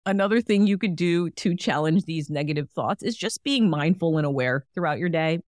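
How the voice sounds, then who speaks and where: A woman's voice: on the low side, expressive, moderate pace, darkish tone, no echo, clean studio sound. A woman hosting a solo wellness podcast, talking in a chatty, encouraging way with frequent fillers. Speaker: podcast host.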